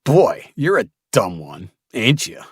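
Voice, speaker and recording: A man's voice: mid-range voice, wildly swooping pitch, slow pace, slightly rough, no echo, pitched above the performer's own voice, exaggerated voice. Young male slaver, twenties, done by a male narrator: bullying, crude, folksy sneer, dry sound. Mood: sneering, taunting.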